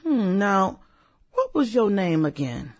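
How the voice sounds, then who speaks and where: A woman playing a brash, flirty, fun-loving businesswoman in a satirical radio drama, with sassy, slangy delivery and drawn-out interjections. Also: mid-range voice, very animated. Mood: curious, probing. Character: brash, flirty, fun-loving high-powered businesswoman in her late thirties.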